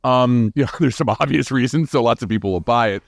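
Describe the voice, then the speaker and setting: A man's voice: medium pitch, brisk, creaky, dry recording, studio quality. A man speaking informally as a guest in a conversational interview, rephrasing as he goes. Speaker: podcast guest, astrophysicist and author.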